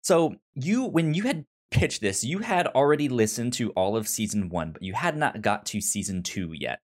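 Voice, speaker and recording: A man's voice: mid-range voice, big pitch swings, moderate pace. A man co-hosting a podcast in casual review chat, often stammering and repeating words and syllables. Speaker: podcast co-host.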